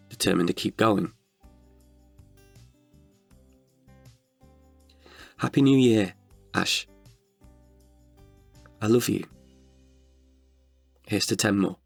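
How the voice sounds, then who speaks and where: A man's voice: on the low side, creaky, dry recording, exaggerated voice. A man in his thirties performing an intimate, chatty monologue as an older, wiser self tenderly addressing his past self. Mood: tender, determined. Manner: chatty, wry, heartfelt. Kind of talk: intimate confessional audio monologue.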